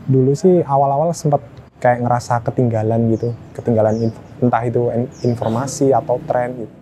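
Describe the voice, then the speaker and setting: A man in his twenties answering interview questions in a relaxed, hesitant way. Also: mid-range voice, fairly quick, a little hiss or hum, poor audio. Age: 20s.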